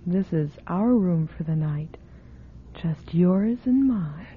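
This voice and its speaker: Lady doing a teasing whispery ghost woman, low voice, tinny old radio sound. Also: lively intonation, slow pace, slightly rough, heavily acted. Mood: seductive. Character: seductive ghostly woman haunting a hotel room. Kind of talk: classic radio drama acting. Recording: tinny old radio broadcast with faint noise.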